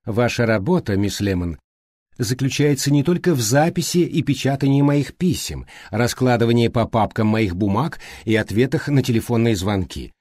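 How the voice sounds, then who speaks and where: A man voicing a thoughtful, courteous, exacting male detective in an audiobook, speaking politely and deliberately. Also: medium pitch, darkish tone, breathy edge, no room sound, studio quality. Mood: firm, lecturing. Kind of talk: audiobook character reading.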